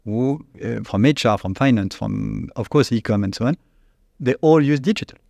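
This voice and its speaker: A man with a French accent, a company CEO, answering interview questions in a halting, searching way with frequent restarts. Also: medium pitch, vocal fry, a little mellow, no echo, muffled recording. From France. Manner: halting, restarts often, searches for English words.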